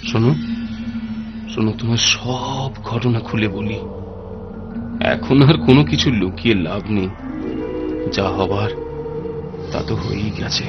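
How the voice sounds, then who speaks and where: A man's voice: lowish voice, measured pace, long pauses. Dull-sounding earnest ghost father played by a man. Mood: grave. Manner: earnest, reassuring. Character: dutiful, secretive ghost of a village father. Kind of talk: cartoon voice acting in Bengali. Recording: dull, band-limited audio under music.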